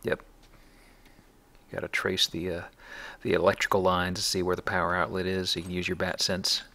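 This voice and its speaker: A man's voice: deep voice, level tone, brisk, bright, forceful tone. A man hosting a let's play, giving casual, conversational game commentary with wry, jokey asides.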